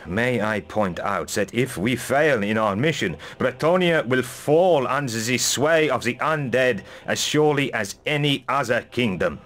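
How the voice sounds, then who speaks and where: An actor playing a blunt, grumbling old military mentor in a video game, sardonic in manner. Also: male voice, medium pitch, unhurried, hardly any pauses, a bit bright, natural voice. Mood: challenging. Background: music.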